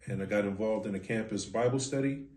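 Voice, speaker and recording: A man in his fifties from Philadelphia with an American accent, telling his story as a podcast guest in a measured, reflective way with deliberate pauses. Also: lowish voice, darkish tone. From Philadelphia, United States. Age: 50s. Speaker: podcast guest, board president of a ministry. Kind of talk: interview answers, personal storytelling. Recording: echoey, reverberant room.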